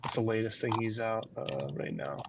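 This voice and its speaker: A man guesting on a casual podcast, speaking hesitantly with many pauses and restarts. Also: fairly low pitch, lively intonation, vocal fry, slight background noise. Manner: hesitant, full of ums and restarts. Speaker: guest comic creator. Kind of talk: casual interview chat.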